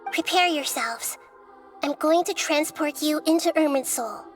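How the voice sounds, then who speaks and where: A woman voicing a gentle, wise, childlike goddess in a video game, speaking in a measured, earnest way. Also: high voice, music in the background. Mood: calm, friendly. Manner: measured, soft-spoken, earnest. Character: gentle, wise child-like female deity, calm and composed. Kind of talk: video game character acting.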